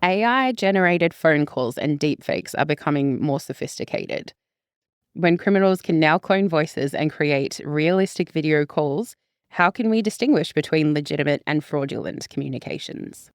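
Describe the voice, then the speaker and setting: A woman podcast host reads prepared questions in a measured, composed manner. Her voice is very low for a woman. The sound is close and dry. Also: lively intonation, clean tone, no room sound. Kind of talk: reading prepared interview questions.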